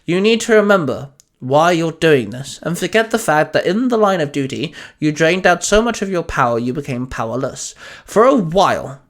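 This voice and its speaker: A man's voice: a little high, animated, normal speed, natural voice. A man voicing a chirpy, knowing shopkeeper who mentors a younger man in an audio story. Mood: encouraging.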